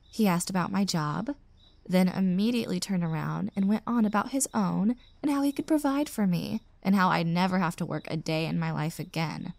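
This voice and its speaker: Woman acting exasperated young woman, gravelly creak. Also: medium pitch, no room sound. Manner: venting, sighing, sarcastic asides. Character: exasperated single young woman rescued from a bad date. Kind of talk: roleplay audio drama, intimate conversational monologue.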